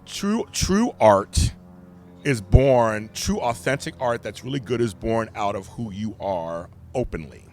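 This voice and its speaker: A middle-aged man with a deep voice, speaking candidly and emphatically as a podcast guest. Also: unhurried, faint music behind. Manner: animated, emphatic storyteller. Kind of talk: candid conversation.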